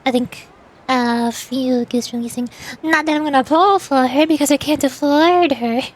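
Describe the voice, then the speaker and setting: A woman's voice: high voice, moderate pace. A tired, sleepy woman chatting casually and rambling on a livestream as a VTuber. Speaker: VTuber streamer and amateur voice actor.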